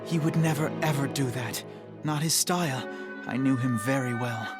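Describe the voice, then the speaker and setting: An actor playing a proud, soft, artistic young prince in an English anime-dub audio drama, polished and genteel. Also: male voice, mid-range voice, moderate pace, over music, lightly acted. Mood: wistful, proud.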